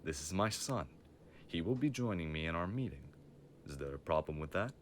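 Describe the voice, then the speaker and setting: A man's voice: low-pitched, lively intonation, a little fast, a little hiss or hum. A man playing a stern, menacing mafia boss father with a formal, commanding manner in an audio roleplay. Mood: smug, commanding. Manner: formal, commanding, coldly threatening.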